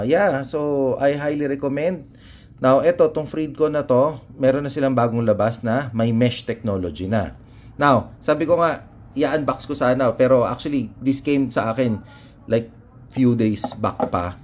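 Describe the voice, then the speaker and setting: A man's voice: mid-range voice, darkish tone. A Filipino man presenting a product in a casual, unscripted review, hesitating as he searches for words and mixing Tagalog with English. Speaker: motorcycle vlogger presenting a product. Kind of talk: casual unboxing and review chat. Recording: noticeable background noise and room ambience.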